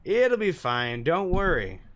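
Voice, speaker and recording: A man's voice: a little high, big pitch swings, slow, a bit bright, voice pushed up, exaggerated voice. A man voicing a polite young female college student, friendly and light, in visual novel dialogue. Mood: reassuring.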